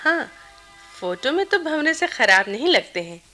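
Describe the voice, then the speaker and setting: Excitable young bride-to-be, high voice, very lively swoops, girlish, dramatic, radio play. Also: moderate pace, soft background music, full character voice. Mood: coy, wistful. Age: young adult. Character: excitable young bride-to-be, chatty best friend. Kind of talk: Hindi radio play acting.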